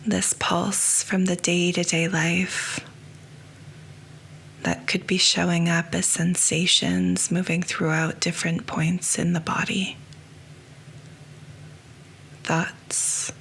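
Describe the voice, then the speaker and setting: A woman leads a guided yoga nidra meditation in a soft, hushed voice that often drops into a whisper. She speaks very slowly on a low, even pitch with a gravelly fry. A faint hiss sits under the voice.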